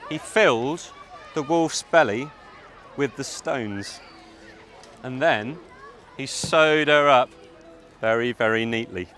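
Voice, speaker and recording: A man narrating a fairytale live to children, theatrical and deliberate, with dramatic pauses. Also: mid-range voice, fairly bright tone, faint music behind, some chatter behind. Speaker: storyteller narrator. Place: outdoor public event.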